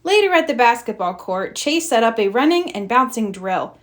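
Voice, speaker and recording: A woman reading a children's storybook aloud as narrator, in an expressive read-aloud style. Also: fairly high pitch, moderate pace. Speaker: storybook reader.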